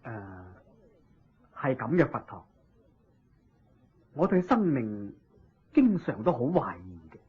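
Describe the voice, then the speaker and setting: Husky hong kong cantonese king in a radio play, eager n humble, very swoopy, dull recording. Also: mid-range voice, slow, pauses often, exaggerated voice. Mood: reverent, earnest. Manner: deferential, expressive, full of exclamations. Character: humble, eager king seeking Buddhist teaching. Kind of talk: Cantonese radio drama acting.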